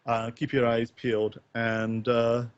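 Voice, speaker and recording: A man's voice: medium pitch, completely flat delivery, slow, clear, resonant tone, no room sound. A man co-hosting a podcast, chatting casually with live viewers in a rambling, hesitant, wry way. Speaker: podcast co-host and streamer. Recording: dull, close, lo-fi voice chat.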